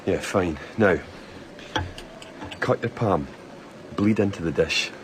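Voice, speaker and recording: Actor as grim, low-voiced station leader. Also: male voice, slow pace, noisy background. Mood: grave, deliberate. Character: grim commanding male leader at an isolated polar station. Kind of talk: dramatic audio drama acting.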